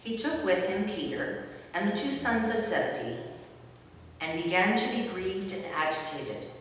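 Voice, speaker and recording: A woman's voice: medium pitch, lively intonation, normal speed. A woman narrator giving a scripted dramatic reading of scripture. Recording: very echoey hall, distant mic, faint noise.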